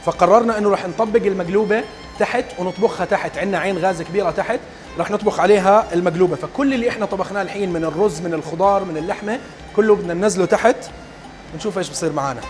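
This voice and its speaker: A young man hosting a cooking vlog, talking to viewers in a brisk, excitable, joking way. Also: high voice. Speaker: cooking show host. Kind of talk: casual on-location cooking vlog narration. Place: busy event venue with a large outdoor cooking area. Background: background music.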